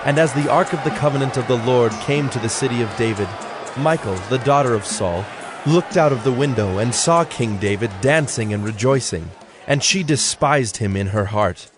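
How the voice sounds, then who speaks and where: A man's voice: medium pitch, a crowd in the background. Solemn male scripture narrator over music. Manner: formal, measured, solemn.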